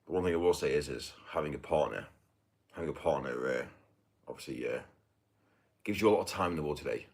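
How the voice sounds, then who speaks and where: A British man with a deep voice, chatting casually on a livestream in a halting, mumbly way full of fillers. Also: lively intonation, darkish tone, breathy edge. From United Kingdom. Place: indoors, getting ready to go out.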